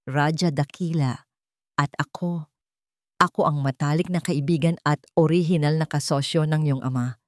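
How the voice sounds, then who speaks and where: A woman voicing a gentle, wise, fatherly old man in an audio story, speaking in a measured, emotional way. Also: sits low, steady tone, no room sound, clean studio sound, lightly acted. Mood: solemn, emotional.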